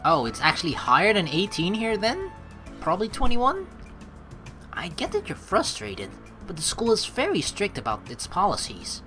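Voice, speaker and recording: A woman hosting a game playthrough, reading narration aloud and adding casual commentary in a playful, expressive manner. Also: medium pitch, moderate pace, faint music behind. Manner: playful, expressive, acts out lines. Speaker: let's play host.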